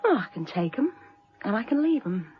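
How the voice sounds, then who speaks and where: A woman's voice: medium pitch, big pitch swings, normal speed, slightly rough. Cool, wry woman, muffled sound. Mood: dry, guarded. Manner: wry, teasing, offhand. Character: cool, worldly, wryly unflappable woman witness. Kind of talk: naturalistic radio drama dialogue.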